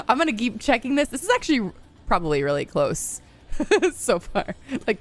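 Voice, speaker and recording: A woman in her thirties streaming a game live, chatting casually with her viewers and laughing often. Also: lowish voice. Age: 30s. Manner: chatty and upbeat, laughs often, lots of "like" and "you know".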